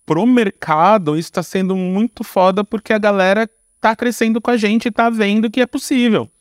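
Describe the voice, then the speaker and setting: A Brazilian man talks casually as an interview guest. His voice sits a little high for a man and is recorded very dry. He phrases things informally, with slang and frequent tag questions. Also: normal speed, no room sound. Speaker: guest, screenwriter and filmmaker. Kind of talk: casual conversational interview answers.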